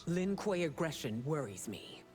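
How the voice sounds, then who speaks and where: An actress playing a regal, stern warrior empress and mother in a video game, formal and measured. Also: sits low, sing-song. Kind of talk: video game character dialogue. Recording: loud music behind the dialogue. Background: music.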